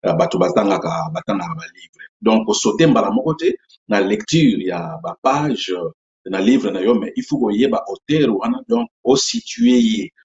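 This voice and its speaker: Low-voiced Congolese man, echoey room. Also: very animated, a little mellow. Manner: unhurried, explains step by step with many hesitation fillers. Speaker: YouTube channel host. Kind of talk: explanatory talk to camera. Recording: echoey room, dull lo-fi sound.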